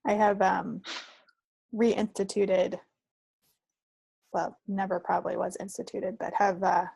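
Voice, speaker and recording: A woman chats casually as a podcast co-host. Her voice is rough-edged and husky, dropping into a gravelly creak, and recorded very dry. Her manner is playful and wry. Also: medium pitch, flat delivery, slow pace, darkish tone, no room sound. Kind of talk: casual chat.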